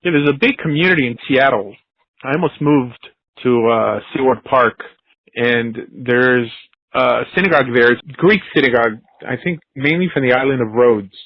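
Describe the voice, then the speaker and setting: A man's voice: medium pitch, moderate pace, dry recording, lo-fi sound. A man, casually chatting and telling stories as host of a talk. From United States. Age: 40s. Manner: relaxed, chatty, storytelling. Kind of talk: casual conversation.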